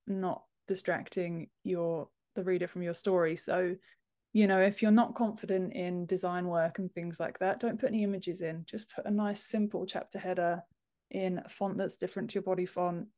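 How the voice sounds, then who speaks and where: Mellow-voiced woman explaining things in an interview. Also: lowish voice, fairly even intonation, normal speed. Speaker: podcast guest, book formatter. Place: home office, indoors, on a video call. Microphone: wired earphones on a video call.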